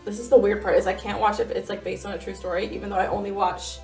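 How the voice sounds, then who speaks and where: A woman's voice: mid-range voice, little pitch movement, racing, dark tone, faint music behind. A woman co-hosting a casual podcast, chatting in a candid, emphatic way and swearing freely. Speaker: podcast co-host. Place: home studio garage.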